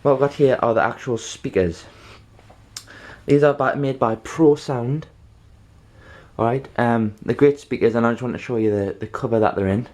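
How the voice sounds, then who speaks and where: A man presenting an informal how-to demonstration, halting and rambling, often checking in with the listener. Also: mid-range voice, darkish tone. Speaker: video tutorial presenter.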